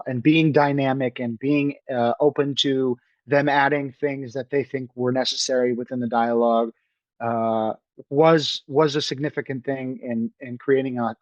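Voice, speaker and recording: A man's voice: mid-range voice, no echo. A young man talks casually as an interview guest. He starts over often and searches for words between fillers. The sound is dry and a little dull, like a modest lo-fi setup. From New York. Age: young adult. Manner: halting, many restarts and fillers. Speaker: film director guest.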